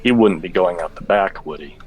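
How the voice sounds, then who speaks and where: A man playing a weary, self-serious hardboiled cop in a radio play, with deadpan noir-style delivery. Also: sits low, fairly quick, natural voice. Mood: insistent.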